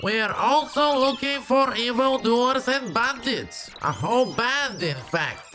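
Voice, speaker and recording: An actor playing an officious, brusque and condescending chief constable in an audio drama. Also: male voice, extremely high pitch, animated, measured pace, sharp tone, faint music behind, exaggerated voice.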